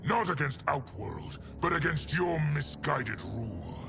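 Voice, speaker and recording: Slow, menacing, domineering warlord general. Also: male voice, a little high, very animated, vocal fry, music in the background, full character voice. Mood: defiant. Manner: menacing, declamatory. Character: treacherous, domineering male warlord general seizing an empire. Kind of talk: dramatic video game cutscene acting.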